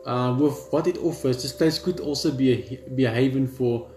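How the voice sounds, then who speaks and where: A man hosting a gaming video reads on-screen story text aloud, haltingly, repeating words and adding casual asides. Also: mid-range voice, darkish tone, a little hiss or hum. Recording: echoey room with faint game music. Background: game music.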